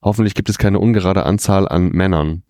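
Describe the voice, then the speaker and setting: A man's voice: sits low, warm tone, no echo, studio quality. A man hosting a casual podcast interview at a comic convention, chatty and full of fillers. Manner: quick, chatty, frequent fillers.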